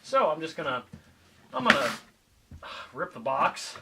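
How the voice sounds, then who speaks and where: A man's voice: a little high, big pitch swings, a little slow. A man chatting casually and off the cuff as he hosts a product unboxing, a little hesitant. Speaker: YouTube product reviewer and host. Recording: clear background noise, clicks.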